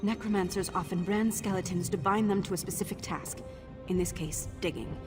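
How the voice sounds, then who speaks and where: An actress playing a wry, morbidly curious young investigator in a video game, with a dry, understated and slightly awkward manner. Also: female voice, fairly low pitch, fairly quick, over music, natural voice. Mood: intent, matter-of-fact.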